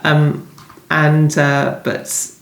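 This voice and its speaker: A low-pitched woman speaks in a roomy, echoing space. She is an author giving conversational interview answers, discursive and often reworking a thought as she talks it through. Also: monotone, extremely slow, creaky. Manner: discursive, thinking aloud, rephrasing as she goes. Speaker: novelist being interviewed about her book. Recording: roomy, echoing indoor sound.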